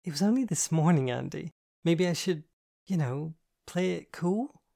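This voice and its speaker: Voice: medium pitch, lively intonation, moderate pace, creaky, warm tone, voice pushed up. A reader voicing a young woman who answers dryly and a bit grumpily while getting flustered, in an audiobook. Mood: wry. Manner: wry, self-conscious. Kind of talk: audiobook dialogue.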